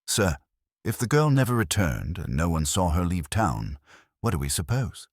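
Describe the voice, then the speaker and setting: A man's voice: deep voice, big pitch swings, moderate pace, dry recording, studio quality. Man voicing a keen, deferential police sergeant. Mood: uncertain. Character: keen, helpful male police sergeant, dutiful and plain-spoken. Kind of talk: audiobook detective story dialogue.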